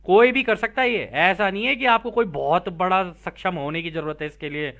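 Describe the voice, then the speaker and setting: A man's voice: high-pitched, normal speed, fairly bright tone. A man teaching spiritual material, reading aloud and explaining it informally, checking in with listeners through rhetorical questions.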